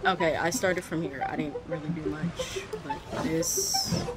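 A woman's voice: low-pitched, wildly swooping pitch, unhurried, very noisy. A young adult woman giving casual, chatty gameplay commentary with grumbling asides, recorded indoors at home. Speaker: gaming streamer doing reaction commentary. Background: video game music.

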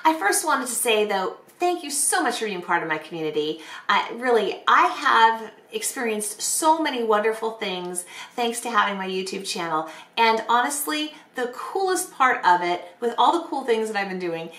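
Bright-voiced, slightly hoarse woman vlogger. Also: fairly high pitch, animated, moderate pace. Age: middle-aged. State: hoarse from bronchitis. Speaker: beauty vlogger hosting a giveaway. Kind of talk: casual chatty product presentation to camera. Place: small bedroom, indoors. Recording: roomy, echoey bedroom sound.

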